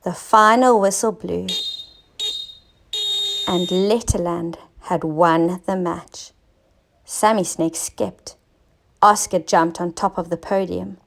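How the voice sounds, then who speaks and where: A woman teacher narrating a scripted children's story, expressive and clearly enunciated for young listeners. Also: fairly low pitch, slow, pauses often. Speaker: teacher reading stories to children.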